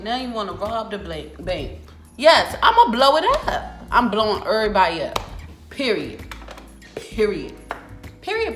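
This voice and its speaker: A woman in her twenties with an American accent tells a personal story in a casual, animated, emphatic and sassy vlog style. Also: medium pitch. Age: 20s. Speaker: vlogger. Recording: roomy echo with music behind.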